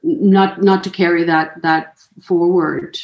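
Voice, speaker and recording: A German-born woman in her fifties speaks in a lowish voice, pausing and restarting often as she works through her thoughts. Her first language is German. The sound carries the echo of the room she is in. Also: little pitch movement, darkish tone. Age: 50s. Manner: hesitant, many restarts and fillers. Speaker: author guest. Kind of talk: conversational interview.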